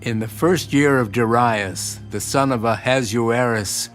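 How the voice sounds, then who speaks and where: A man narrating a dramatised scripture audiobook in a solemn, measured, earnest style. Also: mid-range voice, a bit bright. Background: music.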